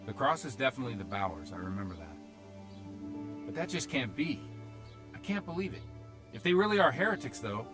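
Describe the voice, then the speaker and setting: A man acts as a village priest in a game cutscene, an older cleric with a mid-pitched voice. He is careful and plain-spoken, protective of his flock and earnest in conversation. Music plays behind him. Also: very animated, normal speed, pauses often, close to an everyday voice. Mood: troubled disbelief. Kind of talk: video game cutscene acting.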